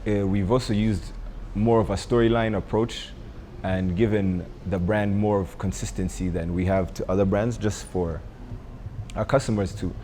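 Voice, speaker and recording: A young man from Rwanda answering interview questions about his coffee business, pausing often with ums and uhs. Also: fairly low pitch, fairly even intonation, dark tone, a little hiss or hum. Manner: hesitant, many ums and uhs. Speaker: coffee entrepreneur.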